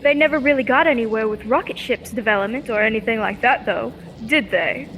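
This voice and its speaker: Girl acting a curious eager lab assistant in an old radio play, high voice, bit of background noise. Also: brisk, fairly bright tone, low-quality recording. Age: teenager or young adult. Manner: inquisitive, eager. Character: curious, admiring young female assistant to a scientist. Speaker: student actor.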